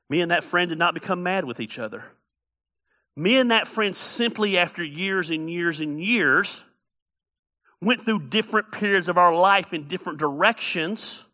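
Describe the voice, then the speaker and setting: A man's voice: on the high side, bright, forceful tone. A middle-aged man preaching a sermon as pastor, emphatic and repeating key phrases for effect.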